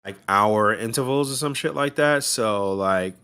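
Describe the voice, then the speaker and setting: Low-voiced man in loose banter. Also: measured pace, pushed and bright, smooth. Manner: loose, profane, laughing banter. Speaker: podcast co-host. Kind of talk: casual chat.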